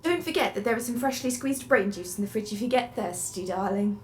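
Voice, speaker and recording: A woman's voice: medium pitch, lively intonation, fairly quick, a little hiss or hum, close to an everyday voice. A woman acting a gushing, eccentric socialite in a surreal radio play, affected and theatrical.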